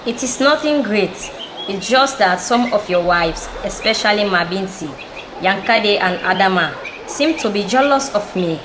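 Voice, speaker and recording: A woman's voice: mid-range voice, animated, close to an everyday voice. A Sierra Leonean woman playing a proud, respectful chief's wife in an audio drama, speaking formally. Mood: aggrieved, dignified. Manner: formal, measured wording. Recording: echoey room with clear background noise.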